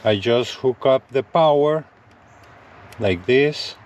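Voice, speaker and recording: A man's voice: mid-range voice, slow pace. A man giving a casual hands-on product demo to viewers, repeating himself with enthusiastic phrasing, in and around a parked truck. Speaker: gadget review vlogger.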